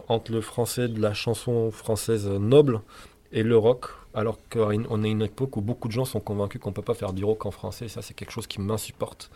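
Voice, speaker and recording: A man's voice: fairly low pitch, dark tone. A man whose first language is Turkish, a rock singer and guitarist answering interview questions in a thoughtful, opinionated way with frequent hesitations.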